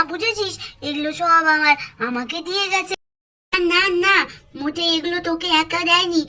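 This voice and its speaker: A woman's voice: extremely high pitch, fairly bright tone, voice pushed up. A woman voicing a boastful, squabbling small child in a doll cartoon, whiny and insistent. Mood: excited, boastful.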